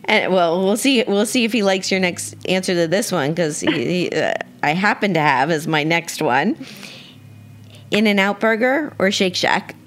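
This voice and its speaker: A woman's voice: on the low side, expressive, a little slow. A woman hosting a radio show, chatting casually as she interviews a guest. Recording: clearly noisy background.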